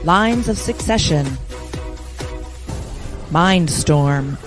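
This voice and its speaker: A woman narrating a scripted book advert in a slow, measured way. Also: lowish voice, lively intonation, music in the background.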